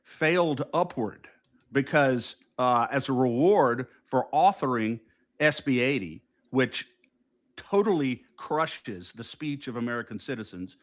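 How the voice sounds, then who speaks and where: A man's voice: mid-range voice, lively intonation, measured pace. A man, co-hosting a political talk show, talking emphatically and indignantly with frequent restarts. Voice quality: loud voice. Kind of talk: casual political discussion.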